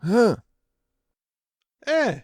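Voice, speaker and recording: A woman's voice: on the high side, sing-song, very slow, a little mellow, husky, no echo, clean studio sound. A woman performing wordless acted reactions such as hums, sighs and exclamations. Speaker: voice performer giving example reactions.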